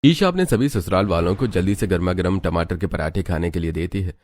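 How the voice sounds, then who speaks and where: A man's voice: low-pitched, lively intonation, brisk, darkish tone. A man giving scripted narration of a family story.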